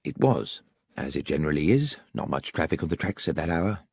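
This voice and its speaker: A man's voice: low-pitched, sing-song, brisk, husky, no echo, studio quality, full character voice. A man voicing a neat, orderly country station master who answers politely and plainly. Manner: polite, deferential, plain-spoken.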